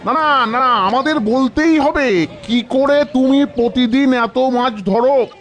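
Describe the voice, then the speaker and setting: A man voicing a pushy, excitable village fisherman in a cartoon, insistent and repeating himself. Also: extremely high pitch, slow, dull audio, soft background music, heavily acted. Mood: nagging. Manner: insistent, repetitive.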